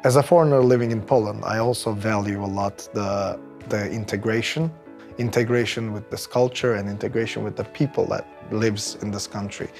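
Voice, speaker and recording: A man's voice: lowish voice, steady tone, normal speed, gravelly, darkish tone. A man in his thirties from Turkey with a Turkish accent, talking conversationally in an interview as an employee. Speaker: employee interviewee, technical project manager. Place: bright office room indoors, by large windows. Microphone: lapel mic. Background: background music.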